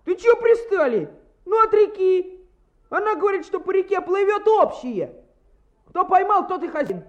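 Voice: extremely high pitch, very animated, moderate pace. An actor playing a sheepish, defensive schoolboy in a radio play, evasive and reluctant. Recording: slightly dull old radio recording.

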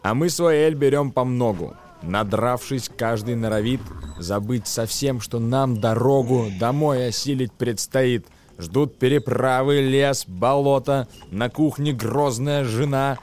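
A man's voice: medium pitch, a little slow, darkish tone, a little hiss or hum. A man, an actor, narrating a tale in dramatic verse for a radio play, with a theatrical storytelling manner.

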